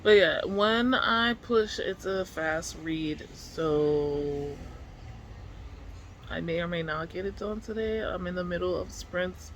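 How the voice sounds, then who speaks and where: Casual book vlog chat, unhurried pace, medium pitch, slangy, musing aloud, faint background noise. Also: a bit bright. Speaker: book vlogger. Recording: clearly noisy room ambience.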